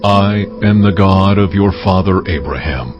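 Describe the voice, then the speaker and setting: A man playing God in a dramatized scripture, solemn, measured and reassuring. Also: sits low. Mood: solemn reassurance. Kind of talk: dramatized audio scripture acting. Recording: dull muffled audio with music.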